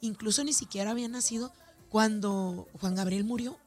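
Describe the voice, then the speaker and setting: A woman's voice: medium pitch, moderate pace, darkish tone, faint music behind. A woman with a northern Mexican accent speaking as an event organizer in a conversational interview, talkative and emphatic, repeating phrases for stress. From Ciudad Juárez, Mexico. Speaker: festival organizer being interviewed. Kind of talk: conversational radio or media interview.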